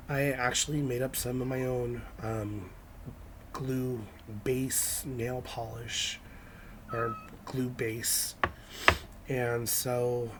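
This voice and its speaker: Raspy, hoarse young man, slow-spoken. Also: mid-range voice. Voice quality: raspy, scratchy. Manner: hesitant, many ums and restarts. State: hoarse from illness. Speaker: nail art vlogger. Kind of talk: casual how-to vlog.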